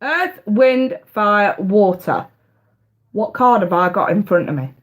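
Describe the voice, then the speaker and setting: A woman's voice: mid-range voice, expressive, takes it slowly. A woman, casually hosting a live tarot stream, chatty and talking straight to her viewers, her voice bunged up with congestion. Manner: chatty, addresses viewers directly.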